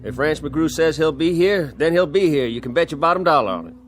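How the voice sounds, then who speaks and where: An actor playing a nervous, chatty saloon bartender with eager, jittery speech. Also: male voice, fairly high pitch, expressive, brisk, close to an everyday voice.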